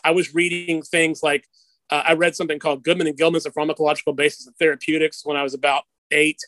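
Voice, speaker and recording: Male interview guest, middle-aged, slightly high-pitched, dry sound; conversational, candid storyteller. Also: flat delivery, fast, fairly bright tone, dry recording.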